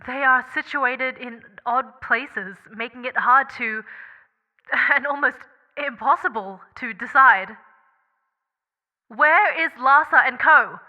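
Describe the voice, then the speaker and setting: A high-voiced young female rescuer played by an actress tries to hold steady under pressure. She is anxious and urgent, with hesitations as she reassures others. The sci-fi drama recording sounds a little dull. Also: slow pace. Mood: worried, reassuring. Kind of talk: scripted audio drama acting.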